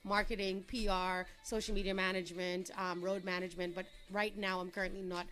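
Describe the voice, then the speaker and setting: A woman's voice: medium pitch, little pitch movement, brisk, a bit bright, faint music behind. A young adult woman from Trinidad, a guest entrepreneur in a casual interview, talking in an upbeat, chatty way and laughing easily.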